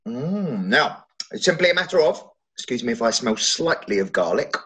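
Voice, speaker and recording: An actor playing a silly, good-natured middle-aged wizard dad in a playful, mock-grand manner, at a table read over video call. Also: male voice, medium pitch, big pitch swings, normal speed, a bit bright. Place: room at home, video call.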